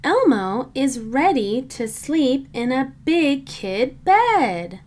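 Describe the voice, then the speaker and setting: A woman reading a children's storybook aloud in simple, deliberate phrases meant for young listeners. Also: sits high, sing-song, a little mellow. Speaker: children's book reader.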